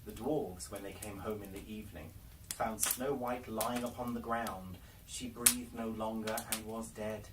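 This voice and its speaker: A man reading a fairy tale aloud as a storyteller, with theatrical, deliberate phrasing. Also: on the low side, steady tone. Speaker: library storyteller. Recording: noisy, distant microphone, clicks.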